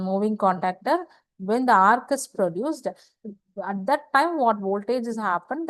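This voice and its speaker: A woman teaches technical material in a mid-pitched voice. She moves haltingly, repeats key phrases and poses questions that she answers herself. The sound is close and dry. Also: no echo. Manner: halting, repetitive, asks and answers own questions. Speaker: engineering lecturer. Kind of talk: slide lecture explaining technical material.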